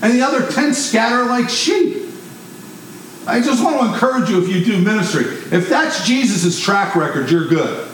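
Preacher, man, high pitch, big voice, animated conversational sermon. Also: normal speed, a little hiss or hum, poor audio.

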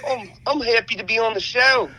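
Bright, high-pitched New Zealand man chatting. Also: fairly quick. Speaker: podcast guest, musician. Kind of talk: casual chat.

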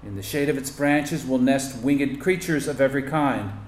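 A man reading a scripture passage aloud at a steady, measured pace. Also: mid-range voice, echoey room, low-quality recording. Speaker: scripture reader.

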